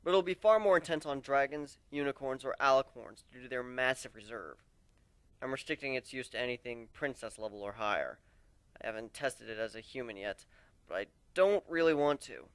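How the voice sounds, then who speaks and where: A man narrating a dramatic first-person story in an expressive, conversational way. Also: mid-range voice, a little fast, smooth, no echo.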